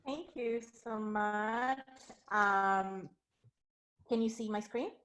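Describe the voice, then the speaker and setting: A woman's voice: medium pitch, drawn-out words, noisy background. A woman from India giving an academic keynote talk over a video call, speaking in an earnest, reflective way. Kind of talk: academic talk sharing her screen.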